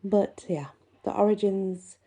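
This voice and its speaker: A woman host reading folklore aloud and musing on it, with deliberate, emphatic phrasing. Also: medium pitch, dark tone. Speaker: host and reader. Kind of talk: reading a folklore book aloud with casual asides.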